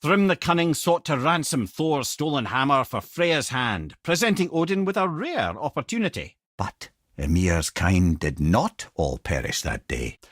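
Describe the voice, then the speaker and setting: Wry old Scottish storyteller, wildly expressive. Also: male voice, medium pitch, a little slow, no echo, studio quality, exaggerated voice. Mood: storytelling. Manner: wry, theatrical, folksy, addresses listeners as brother and lad. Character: talking severed head of a wise, wry old storyteller. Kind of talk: expressive video game character acting, storytelling and banter.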